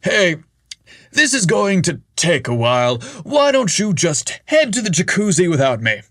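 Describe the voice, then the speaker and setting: A man voicing a brash, eager adult man who talks loudly and theatrically. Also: high voice, very animated, measured pace, no echo, studio quality, pitched above the performer's own voice, exaggerated voice. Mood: upbeat. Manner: loud, theatrical, exasperated.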